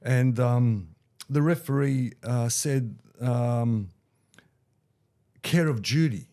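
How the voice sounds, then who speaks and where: Rambling Aussie bloke with a head cold. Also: male voice, medium pitch, takes it slowly. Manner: rambling, excitable, swears. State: head cold, a bit nasal. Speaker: podcast co-host. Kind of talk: casual sports chat.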